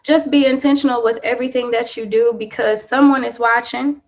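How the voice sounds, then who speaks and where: A woman's voice: a little high, steady tone, brisk. A woman, a guest business owner in a casual podcast interview, talking earnestly and repeating her key points for emphasis. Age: 20s.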